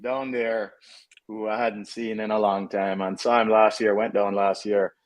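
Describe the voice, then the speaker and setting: A Jamaican-accented man in his fifties speaks in a fairly low voice. He talks as a soccer head coach in a casual interview, easygoing and conversational, with fillers and restarts. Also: little pitch movement, moderate pace.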